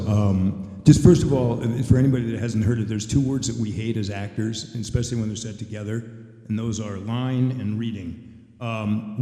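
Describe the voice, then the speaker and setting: A man's voice: mid-range voice, mellow. A male voice actor speaking on a convention panel, emphatic with exasperated asides. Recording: echoey convention hall.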